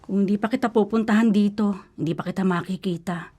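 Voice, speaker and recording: A woman's voice: mid-range voice, fairly quick, mellow, close to an everyday voice. A woman acting in a radio drama as a worried, nagging but loving middle-aged mother, scolding and fussy, with a Filipino accent. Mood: grumbling.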